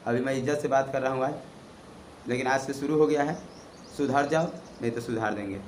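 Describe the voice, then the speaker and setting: A man's voice: medium pitch, fairly quick. A man with a Bihari accent speaks in an echoey room with faint noise behind him. He addresses people directly as a channel commentator. His delivery is confrontational and scolding, built on pointed questions. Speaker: YouTube channel host. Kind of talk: opinion commentary to camera, direct address to viewers. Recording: roomy echo, faint background noise.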